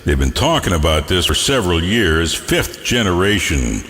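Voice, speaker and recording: A man's voice: sits low, very animated, normal speed, fairly bright tone. A man working as a music radio DJ, chatting playfully with hammy, jokey asides and bits of news. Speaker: radio DJ, host. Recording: reverberant radio stream with music bed.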